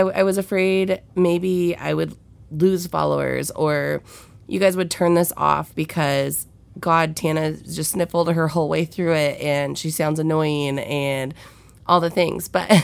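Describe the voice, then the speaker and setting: Young woman, podcast host, head cold, nasal, low gravelly voice, blunt and profane. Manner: frank and profane, with many fillers. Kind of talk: candid solo podcast chat.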